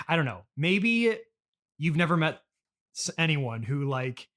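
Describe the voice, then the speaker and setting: A man in an improvised comedy chat, playing himself as interviewer, halting, hesitant and awkwardly polite, often repeating himself. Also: on the high side, sing-song, a bit bright.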